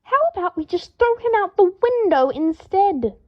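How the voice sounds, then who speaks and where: A woman voicing a haughty young man who shouts and swears in fury, heard on a dull lo-fi recording. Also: extremely high pitch, lively intonation, normal speed, no room sound, voice pushed up. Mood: furious.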